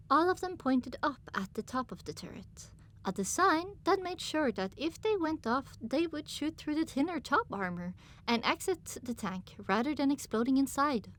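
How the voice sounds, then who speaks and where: A woman reads first-person fiction as an audiobook narrator. Her voice is fairly high and moves widely in pitch, giving the scripted prose a dramatic, expressive shape.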